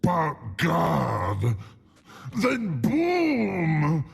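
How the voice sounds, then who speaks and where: An actor playing a big, childlike, distressed troll in a video game, with rough gravelly speech in simple broken phrases. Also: male voice, a little high, huge pitch swings, drawn-out words, heavily acted. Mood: agitated, simple.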